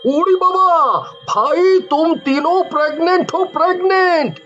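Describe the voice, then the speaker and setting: A man voicing an excitable, flabbergasted cartoon doctor with exaggerated, drawn-out exclamations, in a muffled recording. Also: very high-pitched, faint music behind, exaggerated voice. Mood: astonished.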